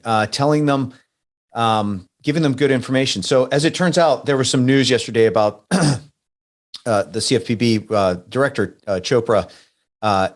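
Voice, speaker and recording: A man hosting a podcast in a casual, conversational way, rambling and feeling his way through his points. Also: medium pitch. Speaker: podcast host.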